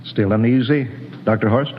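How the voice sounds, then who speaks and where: Actor as commanding spaceship captain on muffled old radio. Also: male voice, mid-range voice, normal speed, slightly rough, lightly acted. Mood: firm, commanding. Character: commanding male spaceship captain, steady, skeptical. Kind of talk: 1950s science fiction radio acting. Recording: muffled, noisy 1950s radio recording.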